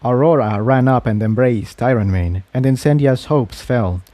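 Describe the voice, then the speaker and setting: A man's voice: mid-range voice, normal speed, dark tone, no room sound. A man reading scripted fantasy fiction as an audiobook narrator.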